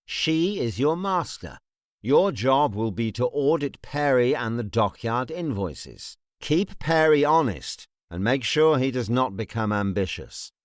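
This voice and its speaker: An actor voicing a shrewd, authoritative lord in a fantasy audiobook, speaking formally and sternly. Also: male voice, mid-range voice, expressive, measured pace, no echo, studio quality, natural voice. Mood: commanding. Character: commanding, shrewd male lord giving stern orders. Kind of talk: audiobook character acting.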